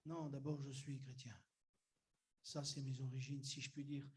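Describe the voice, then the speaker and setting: A man's voice: mid-range voice, level tone, normal speed, breathy edge. A man from Algeria preaching a sermon in church, chatting directly with the congregation and building up to emphatic declarations. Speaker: pastor.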